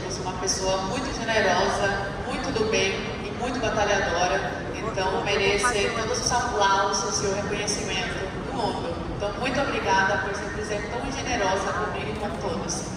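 A young woman from Cuba with a Cuban Spanish accent, giving an impassioned speech on stage with a handheld mic. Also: medium pitch. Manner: emphatic, impassioned testimony. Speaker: panel speaker. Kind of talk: public speech at an event. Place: large stage hall. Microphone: handheld mic, filmed from far off. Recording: echoey hall from far off, noisy.

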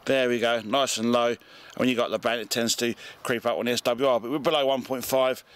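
A man's voice: mid-range voice, fairly even intonation, brisk, smooth. Middle-aged British man, casual demonstrator. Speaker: amateur radio video presenter. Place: outdoors in a back garden.

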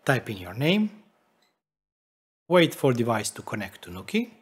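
A man's voice: medium pitch, big pitch swings, a little slow, breathy edge. A man in his forties, a non-native English speaker, explaining a tech tutorial emphatically as a video host. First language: not English. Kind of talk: tutorial narration to camera.

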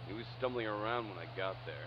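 Park ranger guy on a radio, low voice, music playing behind him. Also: fast talker. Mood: matter-of-fact. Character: teasing male park ranger reporting over radio. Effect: radio filter. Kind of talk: visual novel voice acting. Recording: dull band-limited radio sound.

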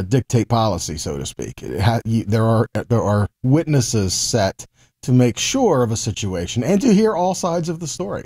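A man's voice: medium pitch, warm tone. An American man in his forties giving a conversational Bible teaching, explaining step by step and checking in with short tags. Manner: explanatory, with frequent check-in tags like okay and right.

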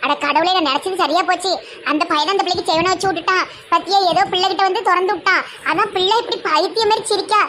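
A woman's voice: extremely high pitch, quick pace, pushed and bright, a little hiss or hum. A woman playing a superstitious, scolding mother in a comic family skit, nagging and lamenting in a southern Tamil Nadu accent. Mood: panicked.

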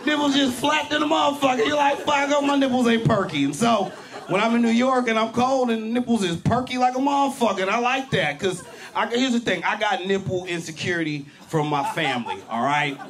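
High-voiced man doing loud stand-up. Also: a little fast. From Berkeley, California. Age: 40s. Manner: loud, animated, profane punchlines with shouted peaks. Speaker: stand-up comedian. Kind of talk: stand-up comedy set. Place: comedy club stage. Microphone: handheld mic. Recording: live club with crowd laughter. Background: audience laughter.

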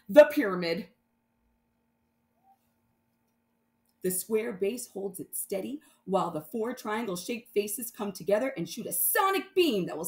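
A woman's voice: on the high side, pushed and bright, roomy sound, heavily acted. A woman voicing a bold, dramatic boy space hero in a children's storybook, in a theatrical, exclamatory manner. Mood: urgent. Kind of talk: children's storybook read-aloud.

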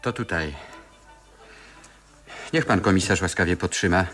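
An actor playing a cynical, sly fence in a 1970s radio play, putting on a falsely polite manner. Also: male voice, deep voice, unhurried, fairly bright tone, faint music behind. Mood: sly, deferential. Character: cynical, sly male fence, slippery petty crook.

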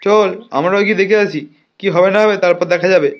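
Man playing a high-pitched, brash cartoon gang leader. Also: quick pace, dull audio, lightly acted. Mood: mocking. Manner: colloquial, scolding, blustering. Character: brash, foul-mouthed village gang leader, comic elder brother. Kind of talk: comic cartoon dialogue.

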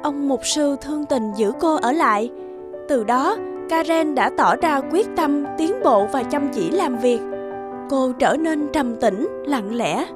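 Woman narrator, high pitch, scripted storybook style, music underneath. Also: lively intonation, slow pace. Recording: music behind the voice.

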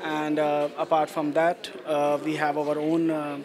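A man's voice: on the high side, completely flat delivery, unhurried, crowd noise. A man in his thirties, a chief operating officer, speaking with many "uh" fillers in an event interview. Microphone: handheld interviewer mic.

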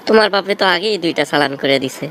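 A man's voice: a little high, expressive, very fast, lightly acted. A man playing a cocky, theatrical young jilted village lover in a comic cartoon, with a Bangladeshi accent. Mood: teasing. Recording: noticeable background noise.

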